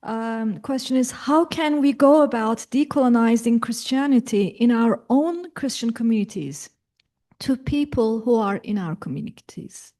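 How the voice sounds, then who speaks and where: A woman moderating a Q&A reads out a student's question in a mellow, slightly high voice. She starts haltingly, then reads the rest out plainly. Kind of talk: reading audience questions.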